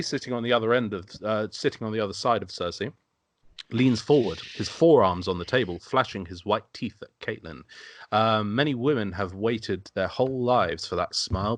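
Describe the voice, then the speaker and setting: A man's voice: fairly low pitch, a bit bright, dry recording. A man narrating stage directions from a script at an amateur table read.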